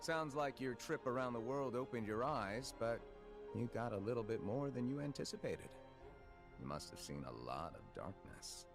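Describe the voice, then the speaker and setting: A man's voice: mid-range voice, lively intonation, moderate pace, close to an everyday voice. A man playing a sly, teasing, inquisitive companion with a playful theatrical delivery in a video game cutscene. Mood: probing. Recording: music under the voice.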